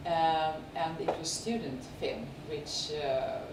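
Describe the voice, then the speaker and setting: A woman with a Serbian accent hosting a film festival, speaking unscripted and haltingly as she searches for words. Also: low voice, fairly even intonation. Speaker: film festival host introducing films and guests. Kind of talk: unscripted spoken introduction and interview. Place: echoey screening venue. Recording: echoey, noisy room, far from mic.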